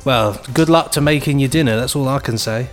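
A man's voice: mid-range voice, fairly quick. A British man co-hosting a podcast in casual comic chat, with a whimsical, rambling manner. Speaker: podcast co-host.

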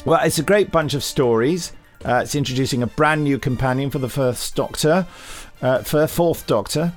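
Man, British accent, podcast co-host, casual, hesitant, medium pitch, soft music underneath. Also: normal speed. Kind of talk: casual news chat.

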